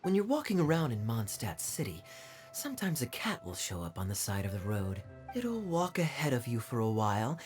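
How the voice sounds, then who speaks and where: A man playing a suave, playful young adventurer in a video game, reassuring and teasing in manner. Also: on the high side. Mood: wry. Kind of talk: video game cutscene acting. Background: game music.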